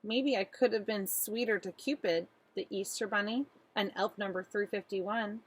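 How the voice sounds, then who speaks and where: A woman voicing an earnest little girl who tells her own storybook tale in an expressive, pleading way. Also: mid-range voice, normal speed, husky, natural voice. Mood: wistful, puzzled. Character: earnest little girl narrator with a sweet tooth.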